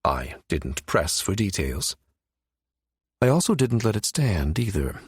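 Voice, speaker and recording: A man voicing a cold, scheming male villain in an audiobook, smug and calculating, with a mocking, measured menace. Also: fairly low pitch, sing-song, breathy edge, no room sound, studio quality, exaggerated voice. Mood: coolly menacing.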